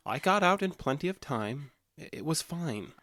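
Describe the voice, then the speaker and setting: An actor playing a cold, detached man in an audio drama, calm and precise, hiding violent tendencies behind an evasive, flat manner. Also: on the high side, moderate pace, dry recording, studio quality. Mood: placating calm.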